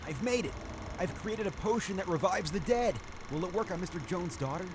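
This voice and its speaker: A man's voice: high-pitched, animated, brisk, noisy background. A man playing an earnest, secretive undead alchemist in a video game, speaking with urgency. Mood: hopeful, excited. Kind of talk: video game cutscene acting.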